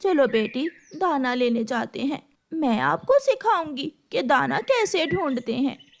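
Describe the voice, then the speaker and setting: A woman's voice: sits high, lively intonation, measured pace. A woman voicing a protective, encouraging mother crow in an Urdu cartoon, motherly and emphatic.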